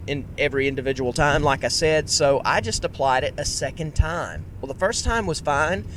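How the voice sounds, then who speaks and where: A man hosting a casual solo podcast, talking in a rambling, self-correcting way and projecting his voice. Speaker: podcast host. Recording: faint road rumble underneath. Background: road noise.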